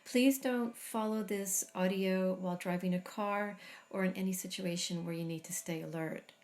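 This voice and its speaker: A middle-aged woman guiding a body scan meditation, speaking slowly and soothingly with long pauses. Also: medium pitch, steady tone. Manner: slow, soft, soothing guidance with long pauses. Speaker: meditation teacher.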